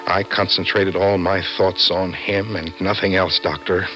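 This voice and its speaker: An actor playing an obsessed, vengeful office worker, intense and brooding, in an old-time radio suspense drama. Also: male voice, sits low, level tone, unhurried, pushed and bright, low-quality recording. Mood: obsessive, intense. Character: obsessed, vengeful office worker confiding his dreams to a doctor. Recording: vintage radio drama, faint music behind.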